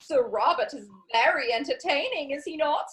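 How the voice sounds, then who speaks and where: A woman playing a breezy, gushing high-society socialite in a dramatic reading of a period comedy. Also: animated, moderate pace, fairly bright tone.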